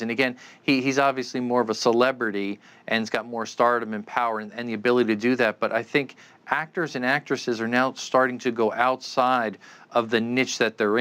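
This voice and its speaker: A man's voice: mid-range voice, fairly bright tone, clean tone. A man giving unscripted expert answers in an interview, explaining things with frequent stammered repeats. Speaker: expert guest, commentator.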